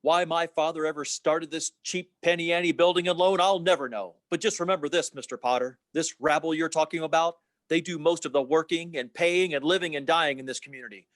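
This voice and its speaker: A man's voice: on the high side, fairly even intonation, a little fast, hardly any pauses, no room sound, natural voice. A man playing an earnest, idealistic young small-town fellow in an old-time radio play, plain-spoken and given to repeating himself. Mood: indignant.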